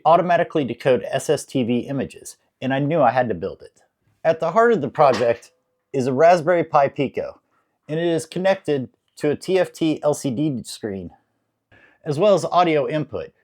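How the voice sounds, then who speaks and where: A man's voice: on the high side, darkish tone. A man hosting a DIY electronics video, explaining things to viewers in a casual way.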